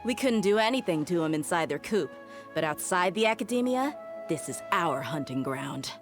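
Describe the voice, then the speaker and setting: Actress as a low-voiced bold mercenary woman. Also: expressive, moderate pace, music in the background, lightly acted. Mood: eager. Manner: casual, self-assured. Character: confident, fierce desert mercenary woman, bold and wry.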